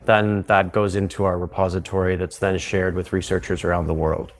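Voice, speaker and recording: Man, Canadian accent, low voice, relaxed interview guest, explanatory. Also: a little fast. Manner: relaxed, explanatory, with frequent restarts. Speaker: guest, psychedelic retreat founder. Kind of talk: conversational interview.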